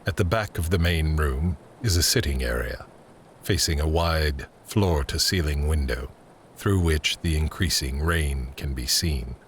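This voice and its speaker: Very deep-voiced male narrator. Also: fairly bright tone, a little hiss or hum. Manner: measured, descriptive. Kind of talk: scripted screenplay-style narration.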